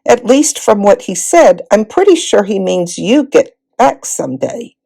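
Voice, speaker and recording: A woman voicing a steady, solemn older-brother boy in a storybook, earnest and reassuring. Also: mid-range voice, a little slow, vocal fry, full character voice.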